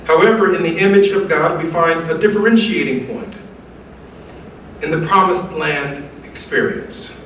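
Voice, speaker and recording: An American man delivers formal remarks as a guest speaker in an oratorical, preacher-like style, measured and emphatic with rhetorical repetition. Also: on the high side, fairly bright tone. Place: large echoey indoor event room. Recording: very echoey room, distant mic, faint noise.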